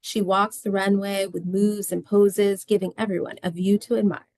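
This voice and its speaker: A woman's voice: medium pitch, little pitch movement, normal speed, darkish tone, no room sound. Dry-sounding woman reading stage directions. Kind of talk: scripted narration at a table read.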